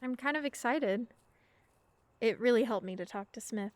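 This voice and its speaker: A woman playing a shy quiet teenage girl. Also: on the high side, moderate pace, no echo, close to an everyday voice. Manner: quiet, hesitant, soft-spoken. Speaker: daughter. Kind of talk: radio play acting.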